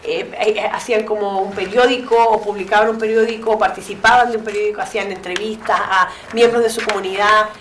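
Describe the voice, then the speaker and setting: A woman interpreting a lecture, speaking quickly with frequent "eh" fillers and "¿cierto?" tags. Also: medium pitch, steady tone, a bit bright, a little hiss or hum. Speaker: interpreter and moderator. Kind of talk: consecutive interpreting. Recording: echoey room.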